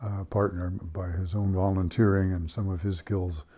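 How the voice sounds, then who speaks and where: An older man speaking reflectively in an interview, with measured, articulate and deliberate phrasing. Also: low-pitched, little pitch movement, warm tone. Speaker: theatre founder and director.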